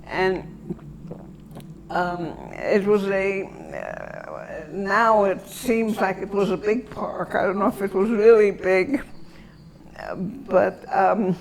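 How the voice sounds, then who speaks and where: An elderly woman from Antwerp whose first language is German, reminiscing in an interview and searching for her words with long pauses. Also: medium pitch, sing-song, a little mellow, poor audio. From Antwerp, Belgium.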